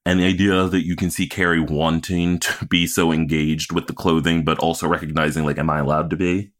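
A man's voice: low voice, little pitch movement, a little fast, fairly bright tone. A man co-hosting a podcast, chatting casually and analytically about television with emphatic opinions. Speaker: podcast co-host. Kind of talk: casual TV recap chat.

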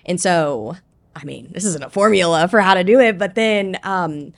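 A woman's voice: on the low side, normal speed. A woman hosting a casual church podcast chat, affirming and encouraging her guests. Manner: affirming, frequent encouraging backchannels. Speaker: podcast host.

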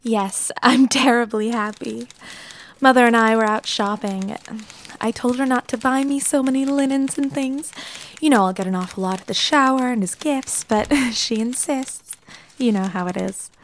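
A woman acts a kindly bride-to-be in a period office drama. Her voice sits fairly high. She is genteel and chatty, full of hesitant, confiding asides. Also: normal speed, slight background noise, lightly acted. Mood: happy, gossipy.

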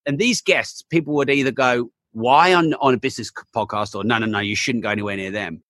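Entrepreneur guest, bright forceful tone, dry close recording, energetic chatter. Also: male voice, medium pitch, brisk, dry recording. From England. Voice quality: voice still not fully recovered. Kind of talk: casual interview chat.

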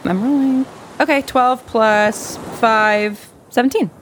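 A woman improvising as a player in a tabletop game, talking casually and haltingly, amused at herself. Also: a little high, slight background noise. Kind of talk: casual improvised role-play and narration.

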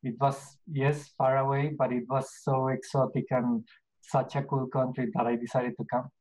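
A middle-aged man from Colombia with a Colombian Spanish accent, answering interview questions in a casual, halting way with many restarts and fillers. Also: mid-range voice, level tone, darkish tone, low-quality recording. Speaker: podcast guest, business owner.

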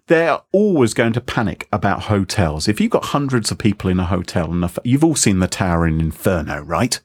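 A man's voice: sits low, sing-song, a little fast, slightly rough, no echo. A man hosting a casual podcast, joking, rambling and mocking himself. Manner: jokey, rambling, self-mocking. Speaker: podcast host.

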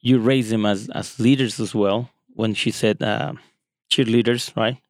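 A man co-hosting a casual podcast, halting and searching for words. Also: on the low side, steady tone, no room sound, clean studio sound. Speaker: podcast co-host.

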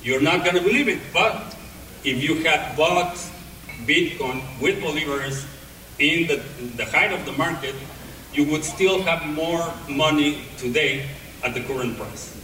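A man with a non-native English accent giving a talk to an audience, speaking haltingly with many pauses and restarts. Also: a little high, pushed and bright. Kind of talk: presentation to an audience. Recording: echoey hall, voice from far off.

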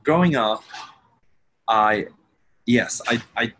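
A man from Sweden, a guest author telling his life story in an interview, soft-spoken and halting, with many restarts. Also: medium pitch, slight background noise.

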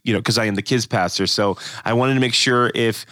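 A man's voice: mid-range voice, a little fast, no room sound. A man co-hosting a church podcast in casual chat, a kids pastor who laughs often and restarts his sentences. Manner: animated, laughing, many restarts.

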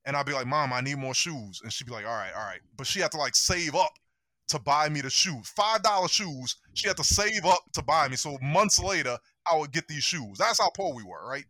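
Animated bright-voiced American man. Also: fairly high pitch, a little fast, dry recording. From New Orleans, United States. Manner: slangy, animated, peppered with "like". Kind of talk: casual chat and personal storytelling.

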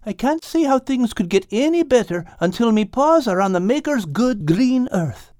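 A man's voice: extremely high pitch, very animated, normal speed, a little mellow, no echo, studio quality, pitched above the performer's own voice. A man voicing a fearful, grumbling cat in an audiobook. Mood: miserable grumbling. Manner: grumbling, pleading. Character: whiny, fearful, sodden male cat who hates the sea.

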